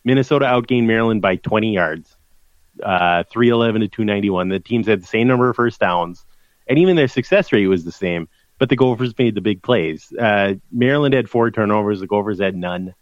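A man's voice: fairly low pitch, a little fast, dry recording, dull audio. A man co-hosting a casual sports podcast, explaining college football numbers in a conversational way with frequent restarts. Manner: conversational, stat-heavy, restarts mid-sentence.

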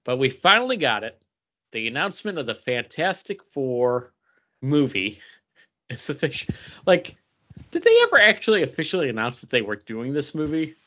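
A man's voice: a little high, huge pitch swings, moderate pace, pushed and bright, lo-fi sound. A man co-hosting a podcast, chatting casually about geek culture with a wry, play-along manner. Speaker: podcast co-host.

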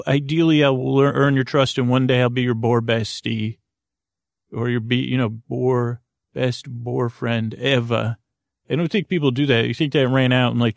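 Creaky-voiced man rambling gently. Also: medium pitch, dry recording, clean studio sound. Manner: meandering, halting, trailing off into tangents; self-deprecating, goofy, gently humorous. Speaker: podcast host. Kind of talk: rambling, casual sleep-podcast monologue.

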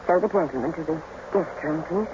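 An actress playing a fearful young mistress of the house, speaking low and urgently, in an old radio drama. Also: female voice, fairly quick, natural voice. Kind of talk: 1940s radio acting. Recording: muffled old radio recording with loud hiss.

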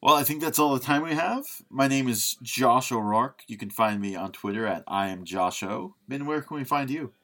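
A man's voice: mid-range voice, expressive, moderate pace, hardly any pauses, fairly bright tone. A man co-hosting a podcast in a casual, conversational style. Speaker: podcast co-host. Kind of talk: casual chat.

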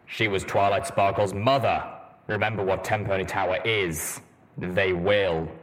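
A man voicing a gruff, stern armored stallion in an audiobook, speaking slowly and emphatically. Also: steady tone, rough recording. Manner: low, slow and emphatic. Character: gruff armored male earth pony, stern and grim.

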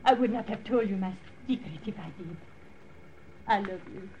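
A woman playing a lovesick young French secret agent, pleading in a breathy, intimate way, in a 1940s radio drama. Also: mid-range voice, moderate pace, warm tone, natural voice. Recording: dull old radio recording with hiss.

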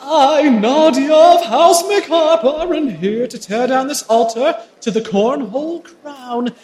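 A man's voice: extremely high pitch, slow pace, darkish tone, roomy sound, in a large hall. A man playing a grandiose, vengeful noblewoman who declaims in a comedic audio drama. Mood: defiant, bombastic. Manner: declamatory, bombastic. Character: grandiose vengeful noblewoman casting spells at a pageant.

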